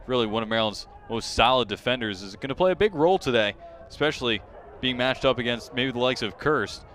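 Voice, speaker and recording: A man's voice: mid-range voice, fast talker, a little hiss or hum. A male sports commentator delivers live play-by-play in a mid-range voice. He follows the action closely and raises his intensity as it builds. Faint outdoor ambience sits behind him. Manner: excitement rises sharply on big plays.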